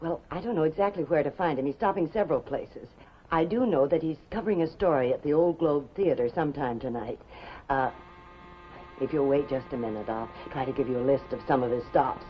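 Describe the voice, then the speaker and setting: A woman's voice: low-pitched, brisk, lightly acted. An actress playing a businesslike newspaper switchboard operator in a 1950s television drama. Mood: businesslike, helpful. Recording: dull 1950s TV soundtrack, music behind.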